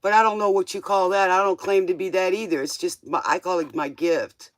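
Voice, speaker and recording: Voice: medium pitch, steady tone, a little fast, dry recording. A livestream tarot host chatting casually in a rambling, informal way, drifting between thoughts and tossing out questioning asides.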